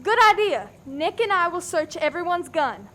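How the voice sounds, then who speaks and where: A woman's voice: very high-pitched, sing-song, normal speed, fairly bright tone, slight background noise, heavily acted. A woman playing an earnest, helpful, easily startled young woman in a farcical radio play. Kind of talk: farce radio play acting.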